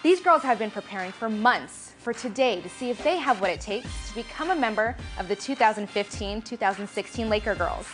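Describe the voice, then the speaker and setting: A woman's voice: mid-range voice, animated, a little fast, few pauses. A woman hosting, narrating scripted recaps and interviewing dancers in a polished, upbeat manner. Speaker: host and interviewer. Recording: music bed under voice.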